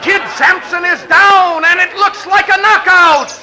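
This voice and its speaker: An excitable fight announcer calling the bout in formal, dramatic 1940s radio style. The pitch is very high, and the vintage recording is noisy. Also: male voice, measured pace. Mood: excited play-by-play. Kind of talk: 1940s radio sports commentary parody.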